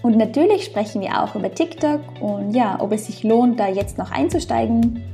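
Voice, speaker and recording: A woman's voice: on the high side, moderate pace, warm tone, soft background music. Upbeat Austrian woman podcast host. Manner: upbeat, enthusiastic introductions. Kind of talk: podcast interview.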